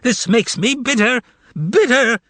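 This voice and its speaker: Brief: a man playing a shrill, quavering trickster in an audiobook, high-pitched and sarcastic, wailing mock outrage, husky edge. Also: lively intonation, unhurried, a bit bright, no echo, heavily acted. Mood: mock-indignant. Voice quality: thin, tremulous, quavering voice. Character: sly, theatrical male con man haranguing a crowd. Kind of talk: audiobook character voice.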